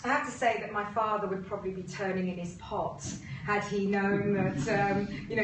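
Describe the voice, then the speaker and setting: A woman in her fifties with a British accent gives a personal, reflective talk in an informal style. She sounds some way from the microphone in a reverberant room, with noticeable noise behind her. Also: mid-range voice. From Uganda-born, grew up in England. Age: 50s. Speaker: presenter, agency co-founder. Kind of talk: personal narrative presentation. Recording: roomy, noisy, distant mic.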